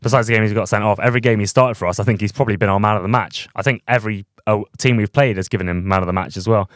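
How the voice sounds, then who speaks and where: Smooth-voiced young podcast host. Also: male voice, on the low side, steady tone, racing, no echo, clean studio sound. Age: young adult. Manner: chatty, many fillers and restarts. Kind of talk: casual podcast chat.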